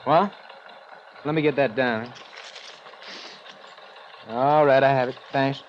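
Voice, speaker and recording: Casting a man as an unhurried desk cop, slow-spoken, heavy hiss in the old audio. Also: mid-range voice, steady tone, close to an everyday voice. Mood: deliberate. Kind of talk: 1950s radio drama acting. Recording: dull, noisy old radio recording.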